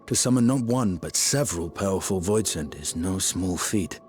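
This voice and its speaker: Low, serious male warrior. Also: expressive, moderate pace, faint music behind. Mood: skeptical, serious. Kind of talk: video game cutscene acting.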